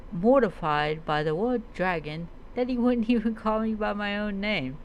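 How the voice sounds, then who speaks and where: A woman with a mid-range voice narrates a tale in character, taking her time. She reads haltingly and slips into reminiscing asides. There is noticeable ambient noise behind her. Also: medium pitch, lo-fi sound. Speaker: roleplay streamer. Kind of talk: in-character storytelling, reading a story aloud.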